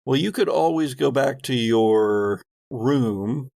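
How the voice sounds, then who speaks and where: A man runs a tabletop role-playing game, explaining the setting in a conversational way. His voice has a gravelly fry and he takes his time. The sound is dry, with very little room in it. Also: medium pitch, takes it slowly, dark tone, dry recording. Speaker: game master of a tabletop RPG. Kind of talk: narrating and refereeing a tabletop game.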